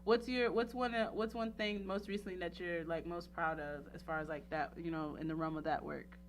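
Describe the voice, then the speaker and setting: A woman hosting a casual talk show interview in a playful, animated way, with lots of slang and filler phrases like "you know". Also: fairly low pitch, brisk, slight background noise. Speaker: radio talk show host.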